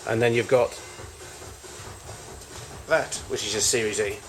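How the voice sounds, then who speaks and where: A man giving an informal, unscripted show-and-tell as a collector of old machines, in a halting, rambling way with frequent self-corrections. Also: on the low side, fairly even intonation, faint music behind. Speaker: collector showing vintage tape recorders.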